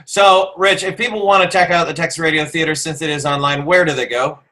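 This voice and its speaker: A man hosting a podcast, chatting casually and telling anecdotes in a jokey, animated way. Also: on the high side, brisk. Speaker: podcast host.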